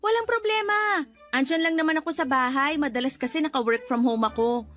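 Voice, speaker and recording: A woman's voice: high-pitched, brisk, a bit bright, soft background music. A woman acting a generous, unwittingly boastful neighbour in a Tagalog radio drama, chatty and accommodating, with a Filipino accent and English words mixed in. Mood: breezy, generous.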